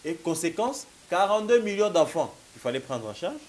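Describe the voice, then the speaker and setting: A man's voice: sits high, big pitch swings, moderate pace. A man in his thirties with a Congolese French accent and a slight cold, arguing as a political analyst in an emphatic studio debate. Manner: emphatic, gesturing, repeats "mon cher ami". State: has a cold. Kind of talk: political talk show debate. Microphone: lapel. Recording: faint hiss.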